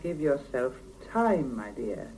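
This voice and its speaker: An actress in a 1970s radio drama playing a brisk, earnest seventy-year-old Soviet woman who mothers and admonishes her juniors, on an old cassette recording. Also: extremely low pitch, big pitch swings, warm tone, a little hiss or hum, full character voice, rough recording. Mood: reflective, firm.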